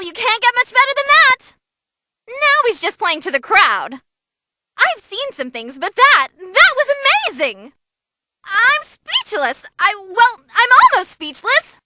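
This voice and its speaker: A woman's voice: very high voice, noisy background, full character voice. A woman playing a perky, bloodthirsty, non-human fight announcer in a game-style performance, theatrical and playful. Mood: thrilled, showy. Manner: gleeful, dramatic, playful. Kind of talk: excited ringside fight commentary.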